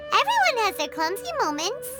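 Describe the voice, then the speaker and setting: A woman voicing a tiny, excitable, childlike fairy companion in a video game, squeaky and piercing, speaking of herself in the third person. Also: fairly quick, heavily acted. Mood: chatty. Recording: background music under dialogue.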